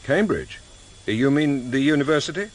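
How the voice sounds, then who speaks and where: A man playing a dogged senior detective in a radio drama, deliberate and probing. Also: mid-range voice, expressive, natural voice. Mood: brisk, probing. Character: dogged, plain-speaking senior detective on his last case. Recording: hissy vintage radio drama tape.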